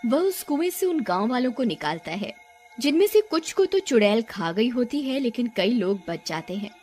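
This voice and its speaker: A woman's voice: fairly high pitch, sing-song, normal speed, darkish tone, soft background music. A woman narrating a scripted moral story as a storyteller.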